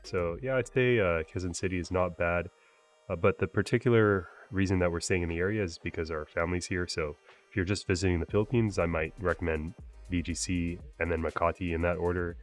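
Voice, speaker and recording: A man talking casually as a travel vlogger, relaxed and chatty with frequent fillers. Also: low-pitched, brisk, faint music behind. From United States. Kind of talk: casual travel vlog narration.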